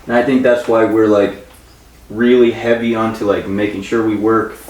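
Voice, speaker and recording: A man's voice: fairly low pitch, flat delivery, normal speed, a little mellow. A man in his twenties chatting casually and jokingly as an interview guest, with slangy, profane talk. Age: 20s. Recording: roomy, distant mic, faint noise.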